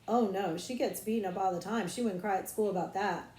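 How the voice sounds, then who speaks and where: A woman's voice: medium pitch, fairly quick, mellow, echoey room, natural voice. A woman voicing an earnest young schoolgirl in an audiobook, precise and confiding in a slightly grown-up way. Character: earnest, knowing young schoolgirl who relishes secrets. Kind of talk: audiobook character voice.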